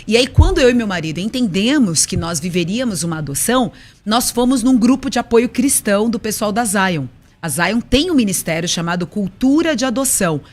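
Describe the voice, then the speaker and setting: Female pastor, Paulista accent, lively storyteller, radio guest. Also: mid-range voice, fairly quick. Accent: Paulista (São Paulo coast). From Brazil, São Paulo state coast. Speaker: pastor and children's book author. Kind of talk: casual radio conversation.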